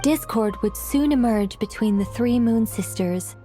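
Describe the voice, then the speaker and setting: An actress voicing a learned, composed young woman recounting ancient lore in a video game, measured and scholarly. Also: on the high side, warm tone, faint music behind, natural voice. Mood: solemn, explanatory. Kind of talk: scripted game dialogue.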